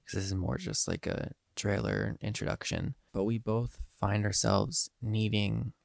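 A nineteen-year-old man with a head cold talks into a close mic in a dry room, his voice slightly nasal. The voice sits lowish and gravelly with fry. He rambles earnestly, full of ums and restarts. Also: flat delivery, measured pace, no echo. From Eastern Pennsylvania. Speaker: podcast host. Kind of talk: casual solo podcast monologue.

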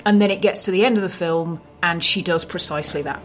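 Low-voiced woman, measured, music behind. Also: fairly bright tone. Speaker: film podcast co-host. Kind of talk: analytical film discussion.

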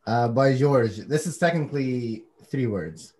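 A man co-hosting a casual chat show, talking in an upbeat, animated way and laughing often. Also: medium pitch, measured pace. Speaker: co-host.

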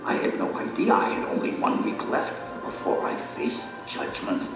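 An actor playing an animated, once-scornful wicked man turned repentant in an audio drama, speaking with emphatic theatrical delivery. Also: normal speed, natural voice. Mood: earnest, rueful. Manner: emphatic, theatrical storytelling with chuckles. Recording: very echoey large hall, music behind.

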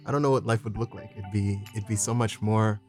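A man in his twenties from Kenya, a podcast guest talking in a relaxed way and searching for words. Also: on the low side, fairly quick, soft background music. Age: 20s. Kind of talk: casual chat.

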